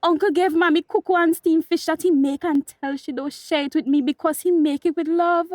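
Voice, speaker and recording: A woman's voice: very high-pitched, steady tone, a little fast, a little mellow, no echo, clean studio sound. A woman playing a worried, outspoken grieving daughter in a radio drama, speaking insistently in Tobagonian dialect. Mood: shocked realisation.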